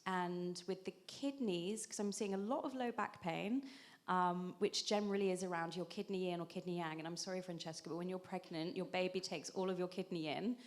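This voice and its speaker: A woman's voice: lowish voice, steady tone, fairly quick. A woman in her thirties, an acupuncturist on a panel, explaining at length in a conversational way with frequent ums and restarts.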